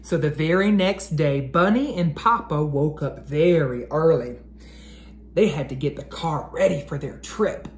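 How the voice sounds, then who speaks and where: An American man in his thirties, an educator doing an expressive, carefully enunciated read-aloud for children. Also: fairly high pitch, unhurried. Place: living room at home, indoors. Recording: roomy echo, clear background noise.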